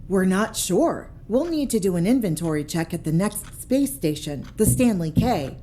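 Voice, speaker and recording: A woman's voice: mid-range voice, expressive, moderate pace, warm tone, close to an everyday voice. A woman voicing a starship purser who reports to an inspector in a businesslike way. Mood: reporting. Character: ship's purser, woman, dutiful and tired.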